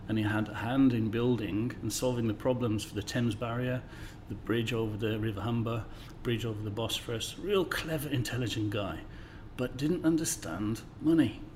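A man in his sixties from the English Midlands with a neutralised accent, a guest telling stories in a friendly, measured way in an office interview. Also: mid-range voice, a little mellow, a little hiss or hum. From England, Midlands. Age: 60s. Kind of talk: conversational interview storytelling.

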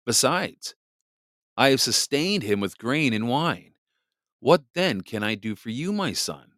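A man reading the part of an old blind father blessing his son, slow and solemn. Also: mid-range voice, sing-song, a bit bright, dry recording, studio quality, voice pushed up. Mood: troubled.

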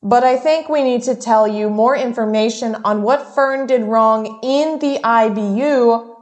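A woman's voice: fairly high pitch, measured pace, a little mellow, close to an everyday voice. A woman playing an officious, bossy female cadet who presents a training session in an audio drama. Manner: prim, bossy.

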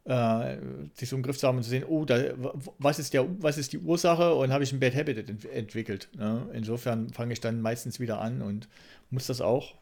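A man with a mid-pitched, gravelly voice full of creak. He talks casually as a podcast co-host, often restarting and repeating the beginnings of his phrases. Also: a little fast, darkish tone. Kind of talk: casual conversational podcast banter.

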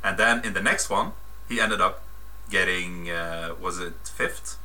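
A man from Sweden with a mixed English and Swedish accent guests on a podcast. His low voice is very bright and forceful, and his delivery is dry and matter-of-fact. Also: a little slow, rough recording. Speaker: podcast guest, tournament reporter. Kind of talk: casual podcast chat.

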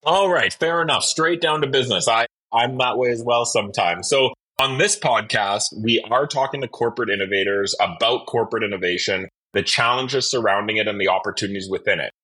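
A man's voice: mid-range voice, a little fast, bright, forceful tone, husky, poor audio. A man hosting a podcast interview in a conversational style. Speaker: podcast host.

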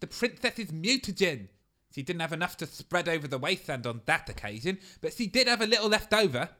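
A man's voice: a little high, very animated, fairly quick, pushed and bright. A man doing a pompous, lecturing scientist. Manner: pompous, explanatory. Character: self-important male scientist, lecturing.

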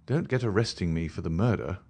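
A man voicing a sharp, clever male detective who asks quick, commanding questions. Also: deep voice, slightly rough. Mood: probing. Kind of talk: audiobook character voice.

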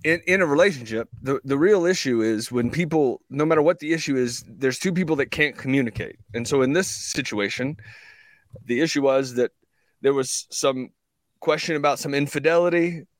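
A man in a heated conversation, speaking earnestly and defensively, often halting and repeating himself as he makes his point. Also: medium pitch, dry recording. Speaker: podcast guest. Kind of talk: heated conversational storytelling and debate.

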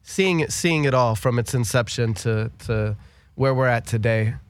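A man's voice: medium pitch, moderate pace, no echo. A man hosting a podcast, asking questions in an easygoing, casual way. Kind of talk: casual interview chat.

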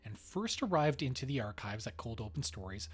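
A man's voice: mid-range voice, very animated, a little fast, husky. A man narrating a scripted podcast as its host.

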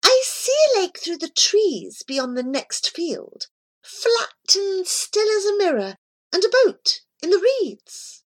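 A woman voicing an anxious small female animal guide with a high, breathless, urgent voice. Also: big pitch swings, takes it slowly, heavily acted. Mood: dreamy.

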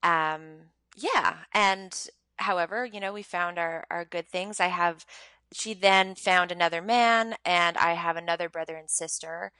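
A woman's voice: lowish voice, measured pace, pushed and bright, no echo. A woman in her thirties from Montreal, a podcast guest talking frankly and reflectively about her life, with many ums and restarts. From Montreal, Canada. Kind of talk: candid personal storytelling.

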